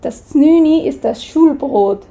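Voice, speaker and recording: Slow-spoken Swiss woman with gravelly voice. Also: high-pitched, expressive, warm tone, poor audio. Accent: Swiss German. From Switzerland. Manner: hesitant, searching for words with many fillers. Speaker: guest. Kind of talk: casual interview.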